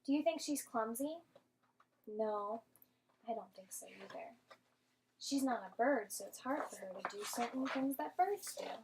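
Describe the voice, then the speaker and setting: A woman teacher giving an interactive storybook read-aloud to young children, expressive and patient, often asking and explaining. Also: a little high, a little slow, a little hiss or hum, low-quality recording.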